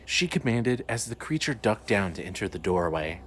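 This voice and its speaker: A man's voice: lowish voice, sing-song, normal speed. A man narrating a scripted horror story as its storyteller. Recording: clearly noisy ambient background.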